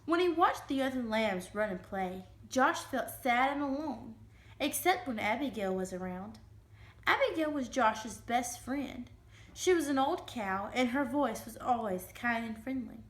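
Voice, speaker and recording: A woman with a high voice narrates a children's story as a dramatic reading. Her delivery is expressive, and the room adds noticeable echo around her words. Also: a little slow. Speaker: reader giving a dramatic reading. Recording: roomy, echoing room sound.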